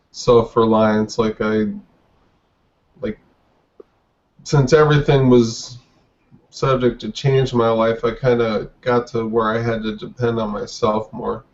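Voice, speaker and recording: A man in his forties from the United States talking casually as an interview guest, in a halting, reflective way. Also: mid-range voice, lo-fi sound. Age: 40s. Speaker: podcast guest, artist.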